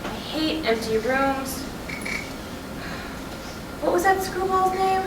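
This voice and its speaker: A woman's voice: sits high, lively intonation, slow pace. A woman reading the part of a restless, sardonic wife in a staged play, in blunt, conversational dialogue. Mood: agitated, depressed. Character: restless, sardonic wife, easily upset, nostalgic. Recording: distant mic in echoey, noisy room.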